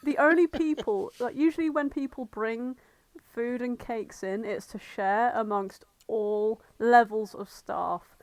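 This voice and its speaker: A woman's voice: fairly high pitch, steady tone, measured pace, no echo. A woman co-hosting a podcast, chatting casually in a blunt, slangy, sweary way. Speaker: podcast co-host. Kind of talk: casual chat.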